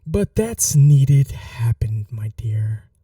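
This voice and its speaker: A man playing a possessive, sadistic vampire lord in an ASMR roleplay, courtly, theatrical and taunting, with breathy drops into whispers. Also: medium pitch, very animated, extremely slow, mellow, dry recording, distant mic, heavily acted. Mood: cold menace. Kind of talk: dominant ASMR roleplay acting.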